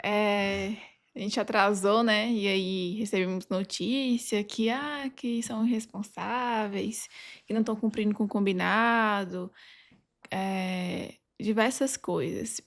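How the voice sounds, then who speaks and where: A Brazilian woman with noticeable vocal fry and an average pitch answers as a podcast guest. Her talk is conversational and halting, built up in short pieces. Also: steady tone. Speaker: legal adviser, podcast guest.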